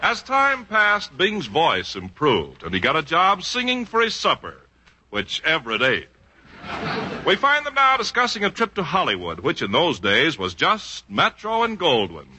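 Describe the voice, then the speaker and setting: A man's voice: fairly high pitch, huge pitch swings, normal speed, pushed and bright, exaggerated voice. A man playing the narrator of a mock biography in a 1940s radio comedy, with dry, mock-grand scripted storytelling. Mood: wry, mock-grand. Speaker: sketch narrator. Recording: faintly noisy 1940s radio recording.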